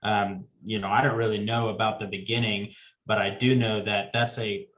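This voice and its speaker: A man's voice: lowish voice, little pitch movement, moderate pace, fairly bright tone. A man in his twenties talking casually as a podcast guest, easygoing and rambling with restarts. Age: 20s. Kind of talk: casual conversation.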